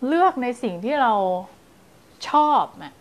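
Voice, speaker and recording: A woman in her thirties, a child development teacher, giving parents advice to camera and now and then acting out lively story voices to show how. She speaks on a lapel mic in a small indoor studio. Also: high voice, measured pace. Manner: animated, acts out scary and playful story voices to demonstrate. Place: small indoor studio in front of blue backdrop.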